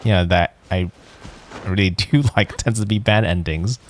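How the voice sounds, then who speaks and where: A man streaming a video game with casual, hesitant commentary, musing aloud in halting phrases. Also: low-pitched, fairly even intonation, slight background noise. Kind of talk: casual let's play commentary.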